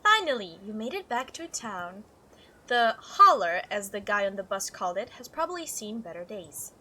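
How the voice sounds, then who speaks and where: A woman's voice: a little high, sharp tone, a little hiss or hum. A woman doing a let's play, reading game text aloud and reacting in a chatty, playful way. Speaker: video game streamer doing a playthrough.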